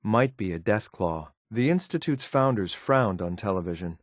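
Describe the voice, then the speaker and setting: A man voicing a stoic, dutiful synth agent for a video game, speaking in a clipped, measured, formal deadpan. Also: on the low side, dry recording, clean studio sound. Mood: cool, formal. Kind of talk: scripted video game companion lines.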